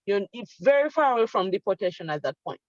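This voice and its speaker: A woman's voice: mid-range voice, sing-song, fast talker, dry recording. A woman on an online panel, earnestly explaining immigration hearings and acting out example statements. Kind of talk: advisory talk in an online panel. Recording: dull lo-fi sound.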